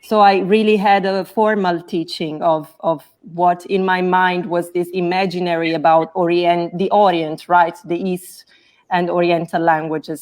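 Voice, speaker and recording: A woman's voice: on the low side, darkish tone. Italian-accented woman, careful explanation. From Naples, Italy. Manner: careful, explanatory, restarts to rephrase. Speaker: scholar, guest. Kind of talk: explaining in an interview. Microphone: video call.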